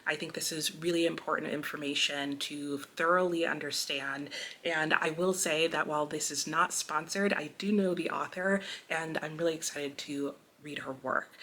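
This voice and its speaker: Female book vlogger, slightly low register, casual and friendly, speaking directly to the audience. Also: a bit bright. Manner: friendly, conversational, addresses listeners directly. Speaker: host of a book channel and read-along. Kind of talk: casual vlog announcement to camera.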